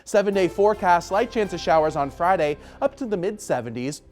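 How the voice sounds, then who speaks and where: A man's voice: high voice, animated, a little fast, a little mellow, faint music behind. A man presenting an ad-libbed TV weather forecast in a conversational, informal way.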